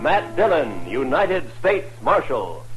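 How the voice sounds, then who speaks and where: An actor serving as a portentous announcer of a 1950s radio western, declaiming each line. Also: male voice, fairly high pitch, sing-song, slow, low-quality recording. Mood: dramatic. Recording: vintage radio with loud music.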